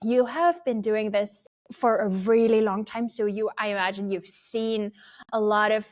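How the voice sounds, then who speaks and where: A woman's voice: mid-range voice, unhurried, muffled recording. A woman hosting a live podcast, chatty and bubbly, laughing often as she talks. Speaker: podcast host. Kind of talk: casual live-stream chat.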